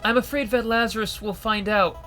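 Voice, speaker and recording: A man voicing a frightened, tearful young woman crew member who stammers and speaks haltingly. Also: high-pitched, fairly even intonation, sharp tone, soft background music, pitched above the performer's own voice.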